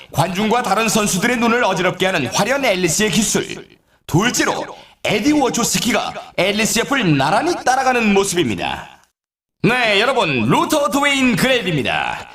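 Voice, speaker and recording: A man voicing a lively, upbeat snowboard race announcer in a video game, giving showy, hyped sportscaster commentary. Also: high voice, moderate pace, reverberant. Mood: upbeat, anticipating. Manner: animated, showy sportscaster delivery. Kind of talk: excited scripted race commentary.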